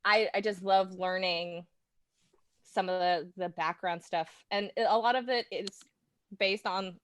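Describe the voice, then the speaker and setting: A woman chatting casually in a giggly, excitable and self-deprecating way, as a fan guest on a talk show. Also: mid-range voice, a little slow, a little hiss or hum. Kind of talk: casual chat.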